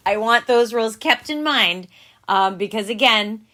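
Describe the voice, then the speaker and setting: A woman's voice: fairly high pitch, animated, bright, forceful tone. A woman in her forties co-hosting a casual podcast with her husband, bubbly and playful. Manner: bubbly, playful, quick to laugh. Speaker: podcast co-host. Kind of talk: casual chat.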